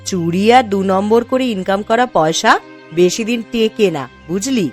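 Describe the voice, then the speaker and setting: A woman's voice: mid-range voice, moderate pace, darkish tone, faint music behind, natural voice. A woman voicing a dignified, principled village wife in a cartoon, firm and admonishing. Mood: firm, reproving. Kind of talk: cartoon story dialogue.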